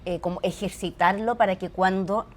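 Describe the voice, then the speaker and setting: A woman's voice: mid-range voice, steady tone, normal speed. A woman, chatting casually as a co-host in an interview.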